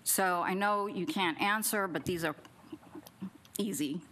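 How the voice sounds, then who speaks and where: A woman's voice: fairly low pitch, measured pace, a bit bright. A middle-aged woman at a council podium mic, giving a pointed public comment full of questions, partly read from notes. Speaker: resident speaking at public comment. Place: city council chamber at a podium. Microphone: podium gooseneck mic.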